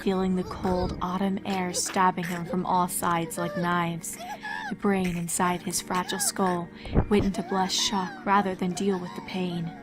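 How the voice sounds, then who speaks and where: A woman's voice: fairly low pitch, little pitch movement, a little slow. Woman narrating a dramatic story over music. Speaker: fan fiction narrator. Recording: music behind the voice. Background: background music.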